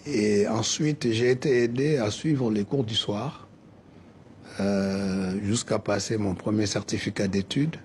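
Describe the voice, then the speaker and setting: Earnest older man with a mellow voice. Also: fairly low pitch, steady tone, slow pace, a little hiss or hum. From West Africa. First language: Soninke. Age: 70s. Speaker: association president, guest. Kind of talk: TV interview answers.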